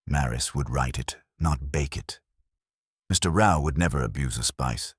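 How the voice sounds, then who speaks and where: A man's voice: very deep voice, moderate pace, breathy edge, no echo, clean studio sound. A man voicing an aloof, cerebral detective in a mystery audiobook, speaking in formal, pompous pronouncements. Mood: pondering, certain.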